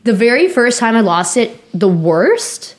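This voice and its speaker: A hoarse, sniffly young woman co-hosting a casual chat, rambling through a story with lots of fillers. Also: fairly high pitch, very animated, measured pace. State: hoarse, recovering from lost voice; sniffly from sinus infection.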